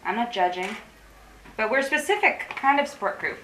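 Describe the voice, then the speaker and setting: An actress in a staged reading playing an anxious, wry, guarded support group organiser, rambling and awkwardly correcting herself. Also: female voice, on the high side, lively intonation, a little fast, fairly bright tone. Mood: guarded, prying. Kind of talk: staged play reading, naturalistic dialogue. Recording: roomy, distant mic with faint noise.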